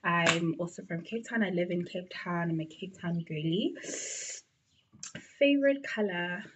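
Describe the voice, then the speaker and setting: A woman's voice: on the low side, measured pace, a bit bright, breathy edge, dull audio. A woman in her twenties with a South African accent, chatting casually to her viewers with plenty of fillers. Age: 20s. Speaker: beauty vlogger. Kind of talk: casual get-to-know-me chat.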